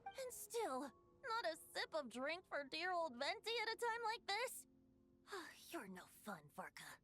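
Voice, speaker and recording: A woman playing a playful, gentle boy bard with an old god's wisdom, musing and lightly teasing, in game dialogue. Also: very high-pitched, big pitch swings, measured pace, faint music behind, heavily acted.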